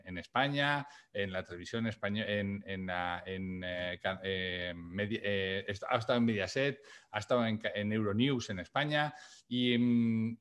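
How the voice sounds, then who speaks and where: A man hosting a podcast, chatty and energetic as he introduces his guest. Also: medium pitch. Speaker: podcast host. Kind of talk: casual interview hosting.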